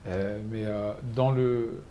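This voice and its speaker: A man hosting an interview, chatty and lively, telling anecdotes and asking questions. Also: lowish voice, takes it slowly, slight background noise. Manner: chatty, animated storyteller. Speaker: host. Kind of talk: interview, conversational.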